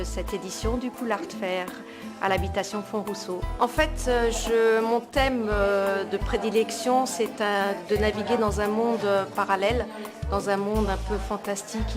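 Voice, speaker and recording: A middle-aged woman, an exhibiting artist, searching for words in a spontaneous interview. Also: mid-range voice. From Russian and Iranian background. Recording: music playing behind.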